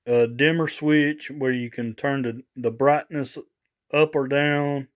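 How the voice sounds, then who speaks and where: A man casually showing off gear for a vlog, rambling and halting as he repeats himself. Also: mid-range voice, steady tone, muffled recording. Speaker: vlogger showing radio gear. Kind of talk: casual product showcase.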